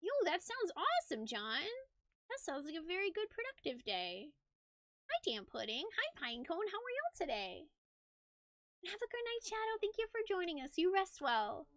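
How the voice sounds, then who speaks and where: A woman from the United States, chatty and expressive, livestreaming as she talks with viewers and reads game narration aloud. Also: very high voice, moderate pace, dry recording, studio quality. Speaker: game streamer. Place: indoors, game livestream with music behind.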